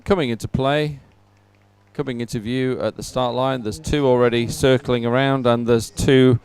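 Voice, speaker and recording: Clear-voiced man giving live sports commentary. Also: medium pitch, fairly even intonation, normal speed, darkish tone, no room sound.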